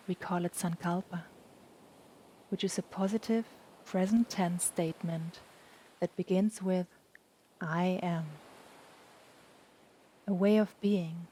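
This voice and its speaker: A woman guides a relaxation meditation in a low, mellow voice. She speaks very slowly and softly, leaving long, soothing pauses between phrases. A faint wash of ocean sound runs behind her. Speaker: yoga nidra meditation guide. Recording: steady background noise, ocean waves.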